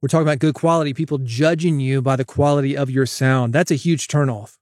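A man hosting a solo podcast, coaching listeners in an energetic, direct way on a broadcast mic. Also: medium pitch, fast, no echo, clean studio sound. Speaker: podcast host. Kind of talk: solo podcast advice with sponsor read. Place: home studio, processed dry voice. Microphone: studio broadcast dynamic mic.

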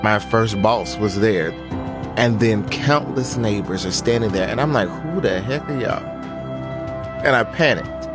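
A man from Nashville with a low voice tells a personal story in a radio documentary style. He speaks as the subject of the piece, with music clearly playing underneath. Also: a little slow. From Nashville, United States. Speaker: interviewee telling own story. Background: music bed.

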